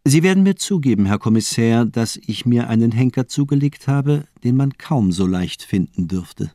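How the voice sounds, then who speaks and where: An actor in a radio crime drama playing a cold, dapper villainous doctor, calm, superior and ironically polite. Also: male voice, medium pitch, moderate pace, no echo, studio quality, close to an everyday voice. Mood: smug calm.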